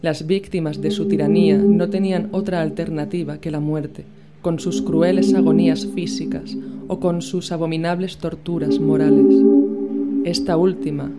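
A woman from Spain reading a literary horror story aloud in a measured, dramatic storytelling manner. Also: on the low side, expressive. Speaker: narrator. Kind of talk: scripted literary narration. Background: loud music with a singing bowl.

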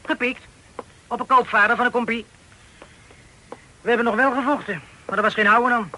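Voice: medium pitch, steady tone, fairly quick, pushed and bright, close to an everyday voice, low-quality recording. An actor playing a cheeky, carefree boy who has turned pirate in a radio play, talking in a breezy, colloquial way. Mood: carefree, matter-of-fact.

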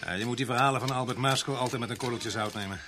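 An actor playing a grumbling, greedy police sergeant who is bossy but soft-hearted, hesitating and repeating his words in a radio play. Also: mid-range voice, rapid-fire, music in the background, close to an everyday voice. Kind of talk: radio drama acting.

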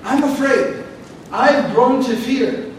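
A man with a very high voice gives a lecture on scripture. He explains each word carefully and repeats phrases for emphasis, often drifting into tangents. He stands some distance from the mic in a very echoey room, with faint background noise. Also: slow. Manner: repetitive, explains word by word, digressive. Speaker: Quran teacher.

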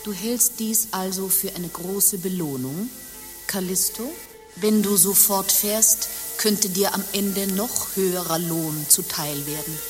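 An actress playing a worldly, stern abbess in a German radio play, speaking formally and theatrically. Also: female voice, medium pitch, takes it slowly, close to an everyday voice. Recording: long reverb, music behind.

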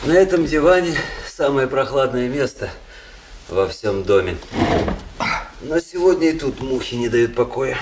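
Witty, world-weary gentleman with swooping intonation. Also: medium pitch, animated, measured pace, background noise. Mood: wry, languid. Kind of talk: theatrical radio drama dialogue.

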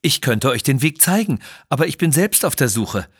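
A man's voice: mid-range voice, very animated, normal speed, husky, no echo, clean studio sound. A man voicing an earnest, pleading young Indian jungle guide in a children's audio story. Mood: desperate, pleading.

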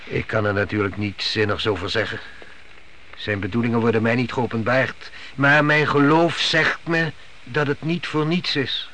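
A stern and pious middle-aged patriarch, played by a man, speaks in a medium male pitch. His manner is solemn and deliberate, as in a biblical radio drama, with light noise on the recording. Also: fairly even intonation, sharp tone, natural voice. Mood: firm. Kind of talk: radio play acting. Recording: noisy old radio play recording.

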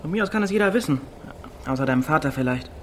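A man's voice: on the high side, animated, rapid-fire, slight background noise, natural voice. Cocky, touchy teenage village boy, played by a man. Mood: casual, defiant. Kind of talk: naturalistic radio drama dialogue.

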